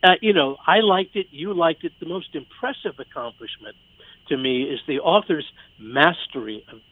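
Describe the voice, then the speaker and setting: A man reviewing theater conversationally on the radio, articulate and measured, as a critic. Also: on the high side, expressive. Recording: thin phone-like sound.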